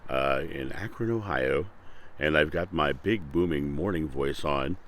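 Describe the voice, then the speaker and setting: A man's voice: rumbling low, a little slow, fairly bright tone, a little hiss or hum. A man hosting his own channel, talking casually and earnestly about a spiritual idea, often restarting and repeating words. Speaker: channel host. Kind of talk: casual spiritual talk to camera. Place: Akron, Ohio.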